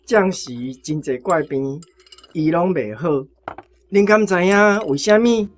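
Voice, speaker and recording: Woman acting low-voiced, opinionated old Taiwanese grandfather. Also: unhurried, slight background noise, lightly acted. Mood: warning. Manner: emphatic, instructive, blunt. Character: old country grandfather, homespun, opinionated, admonishing. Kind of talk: radio drama dialogue.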